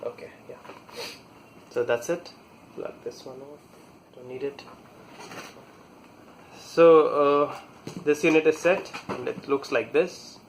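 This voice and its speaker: A man talking casually through a hands-on product installation, halting and pausing mid-sentence as he works. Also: mid-range voice, a little hiss or hum, poor audio. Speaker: product reviewer demonstrating installation. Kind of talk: casual hands-on tutorial talk.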